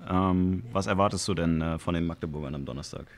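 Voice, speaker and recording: A man's voice: sits low, steady tone, fast talker. A young man moderating a press conference, asking questions informally with many fillers.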